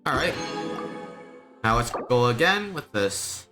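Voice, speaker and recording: Male streamer, mid-pitched and slow-paced, casual and low-key play-by-play with prominent game music behind him. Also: very animated. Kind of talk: casual live gameplay commentary.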